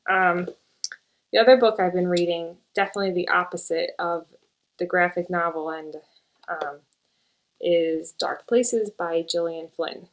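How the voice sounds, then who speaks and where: A woman's voice: on the low side, steady tone, measured pace, poor audio. A woman teacher hosting a casual book chat, who coaxes her young daughter with questions and then talks easily about books. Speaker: teacher and show host.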